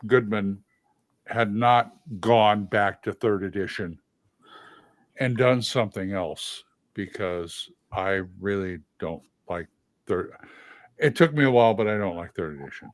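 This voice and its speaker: A man hosting a livestream, chatting casually about tabletop games, opinionated and halting with sudden loud, excited bursts. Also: fairly low pitch, no room sound. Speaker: livestream host.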